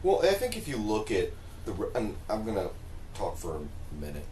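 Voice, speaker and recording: A man talking casually on a panel, explaining his opinions at length and deliberately. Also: on the low side, wildly swooping pitch, crowd noise. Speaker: podcast co-host. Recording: roomy echo, faint background noise.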